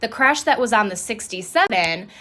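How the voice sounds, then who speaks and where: A woman presenting traffic and news live from her apartment dining room on a phone, chatty off air and brisk on air, a little sniffly. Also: fairly high pitch, expressive, bright, forceful tone. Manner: chatty and self-deprecating off air, brisk and upbeat on air. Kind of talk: casual live stream chat and on-air traffic report.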